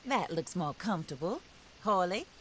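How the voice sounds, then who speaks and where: Vengeful Old West widow for a game: very low register, commanding, genteel, deliberate. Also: female voice, very animated. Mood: composed command. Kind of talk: dramatic video game cutscene acting. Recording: game audio with faint hiss.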